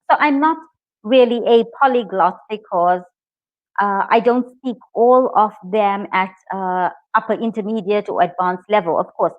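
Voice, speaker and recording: A woman whose native language is English, teaching a live online IELTS lesson, speaking slowly and clearly and cheering her students on. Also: mid-range voice, no echo, dull audio. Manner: slow, clear, encouraging, reads out student comments.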